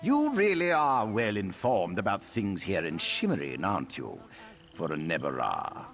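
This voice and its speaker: Haughty low-voiced elven high priest. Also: male voice, big pitch swings, normal speed, fairly bright tone, heavily acted. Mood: mocking, veiled menace. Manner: condescending, theatrical, sarcastic. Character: haughty high elf high priest, sneering and mocking. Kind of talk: video game character acting.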